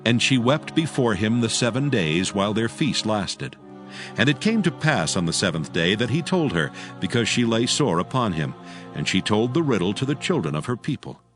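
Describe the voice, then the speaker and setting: A man narrating scripture dramatically in archaic English, solemn and measured. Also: fairly low pitch, sing-song, a bit bright, soft background music.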